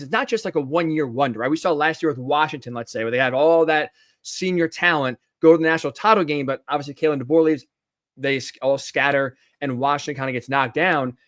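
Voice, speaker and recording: A man with a high-pitched voice co-hosting a podcast, talking college football casually and often adding "right?" to his points. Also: fairly bright tone, dry recording, clean studio sound. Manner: tosses in "right?" tag questions. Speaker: podcast co-host. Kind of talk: casual sports talk.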